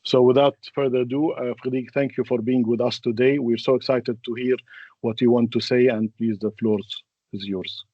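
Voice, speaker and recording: A man, a native Arabic speaker, moderating a webinar and introducing speakers in a halting, hesitant way. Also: medium pitch, fairly even intonation, dry recording, muffled recording.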